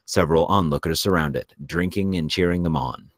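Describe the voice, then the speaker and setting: A man narrates a screenplay in a very deep voice. He reads out scene and action directions as scripted narration. The sound is dry, with little room. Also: brisk, no room sound. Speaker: narrator reading stage directions.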